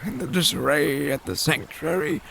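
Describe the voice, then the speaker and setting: An actor playing an elderly, long-winded fish-man scholar in an audio drama, with a gravelly voice and a halting, formal storytelling manner. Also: a little high, fairly bright tone, a little hiss or hum, poor audio.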